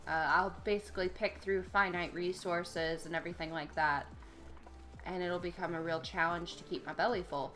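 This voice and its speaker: A woman's voice: lowish voice, steady tone, normal speed, a bit bright, faint music behind. A young adult woman with a cold, sounding a bit nasal and stuffed up, chatting casually as she plays a survival game and thinks aloud. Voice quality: slightly nasal. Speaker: let's play gamer. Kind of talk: casual gameplay commentary.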